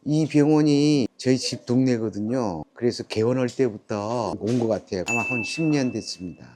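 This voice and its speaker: A man, a long-time dental patient, giving a polite, measured interview testimonial with formal endings. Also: mid-range voice, darkish tone, a little hiss or hum.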